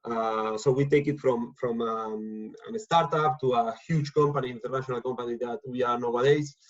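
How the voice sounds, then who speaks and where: A man talks on a video call from his home, stumbling over his words and restarting often. His voice stays level in pitch and tone. The call audio sounds dull and lo-fi. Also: fairly even intonation. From Argentina. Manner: halting, many repeated words and fillers. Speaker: guest speaker. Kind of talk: casual talk on a video call.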